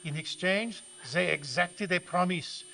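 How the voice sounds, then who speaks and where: A man's voice: high voice, a little slow, vocal fry, pushed and bright, exaggerated voice. A man playing a gracious, earnest botanist in an old-time radio play. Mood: puzzled, earnest. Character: polite, earnest French male botanist, bewildered and gracious. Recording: echoey live hall with mains hum.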